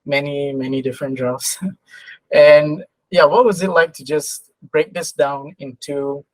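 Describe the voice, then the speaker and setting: Man, slightly high voice, casual interviewer, loose rephrased questions, dry studio-like sound. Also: steady tone, unhurried, no room sound, poor audio.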